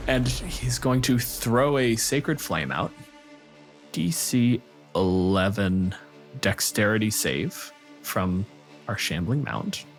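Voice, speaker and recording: A man's voice: lowish voice, lively intonation, slow pace. Male game master over prominent music. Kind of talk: narrating a tabletop game.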